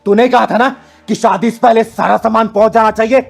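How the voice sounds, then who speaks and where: A man's voice: high-pitched, steady tone, fairly quick, gravelly. A man acting a fierce, protective kinnar elder who scolds, threatens and boasts in a melodramatic short film. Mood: furious. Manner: scolding, threatening, boastful, speaks of self by name. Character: Chingari, fierce kinnar (transgender woman) avenger, protective elder.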